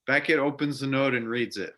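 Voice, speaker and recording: A man's voice: mid-range voice, brisk, pushed and bright. A man narrating scripted stage directions for a readers theater drama.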